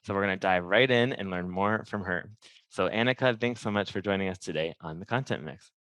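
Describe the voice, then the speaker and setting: A man with a very deep voice hosts a podcast in an upbeat, friendly way. His voice is dry and close, with no room sound. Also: a little fast, a bit bright, no room sound. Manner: upbeat, friendly, scripted intro. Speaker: podcast host. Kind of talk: podcast interviewing.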